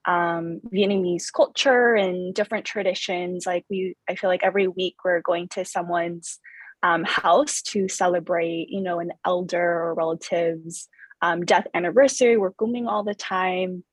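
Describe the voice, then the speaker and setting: A thoughtful American woman in her thirties, her voice slightly husky and pitched a little low. She talks through her experiences in a relaxed interview, full of ums and restarts. The sound is close and dry. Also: normal speed, dry recording. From Southern California. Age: 30s. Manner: reflective, many fillers and restarts. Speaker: guest author. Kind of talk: conversational interview.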